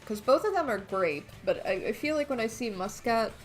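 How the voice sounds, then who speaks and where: A woman's voice: a little high, expressive, normal speed. Casual woman chatting over game music. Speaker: let's play streamer. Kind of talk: casual gaming commentary.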